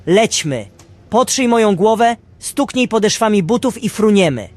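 Man playing a high-pitched, theatrical apprentice angel. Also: very animated, normal speed. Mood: eager, solemn. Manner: theatrical, exclamatory. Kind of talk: amateur radio play acting. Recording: music behind the voice.

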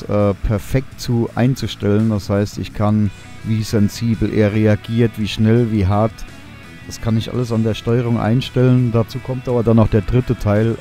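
Young guy doing a drone review voice-over, warm mellow tone, lots of ums, faint guitar behind. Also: fairly low pitch. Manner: relaxed, enthusiastic, many fillers.

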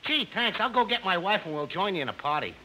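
Indignant high-pitched landlord, piercingly bright. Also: male voice, very animated, fast talker, full character voice, poor audio. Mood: softened, hopeful. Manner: exasperated, scolding. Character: indignant male landlord complaining about noisy parties. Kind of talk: 1950s radio comedy acting. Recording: hissy 1950s radio broadcast recording.

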